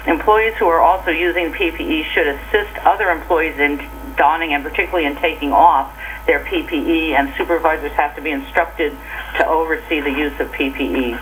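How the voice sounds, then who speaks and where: An American woman delivers a prepared official briefing by telephone. Her voice sits a little low for a woman, and there is faint noise on the conference line. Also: fairly even intonation, brisk. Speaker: government worker safety official presenting.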